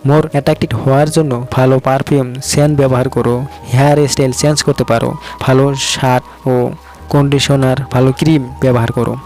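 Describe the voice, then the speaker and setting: A man's voice: medium pitch, flat delivery, moderate pace, darkish tone, soft background music. A man narrates scripted motivational relationship advice, speaking directly to the listener in an instructive way. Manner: direct, instructive, addresses the listener with rhetorical questions.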